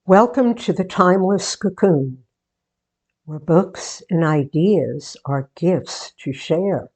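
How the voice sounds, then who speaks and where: A woman playing a sentimental, playful daughter and hostess in a 1940s-style radio theatre monologue, with deliberate, theatrical delivery. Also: on the low side, animated, warm tone, heavily acted. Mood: affectionate, playful. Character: sentimental daughter, book-show hostess, playfully resisting marriage.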